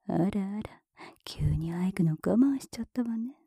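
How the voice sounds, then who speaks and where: A woman voicing a cool, mocking, dominant woman in a whispered ASMR roleplay. She speaks very close to the mic, in a soft whisper broken by taunting laughs. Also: medium pitch, lively intonation, a little slow, slightly rough, dry recording. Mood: teasing, mocking. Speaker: voice actress.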